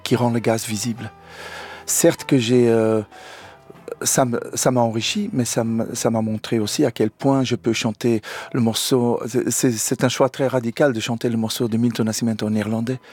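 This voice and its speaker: A man's voice: medium pitch, darkish tone. A singer, speaking French in an interview and explaining his ideas earnestly while stumbling on words. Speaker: guest singer. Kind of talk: radio interview answers.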